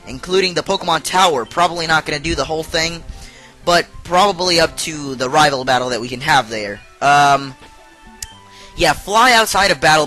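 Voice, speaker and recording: A man's voice: a little high, pushed and bright, low-quality recording. A young man who is sick and has partly lost his voice, chatting casually with many fillers as he plays through a game. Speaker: let's play host.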